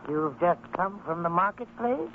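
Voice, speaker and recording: A man's voice: high-pitched, big pitch swings, moderate pace, phone-quality sound. A man playing a sly, teasing gambling companion with a theatrical, insinuating manner, in an old radio drama. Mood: oily, teasing. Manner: smooth-talking, theatrical, insinuating. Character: sly, wheedling male gambling crony, oily and teasing. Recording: thin, band-limited old radio recording, faint noise.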